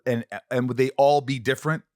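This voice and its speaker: A man's voice: mid-range voice, flat delivery, brisk, mellow, no room sound, clean studio sound. A man hosting a casual conversational interview, asking questions and musing aloud. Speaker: podcast host, interviewer.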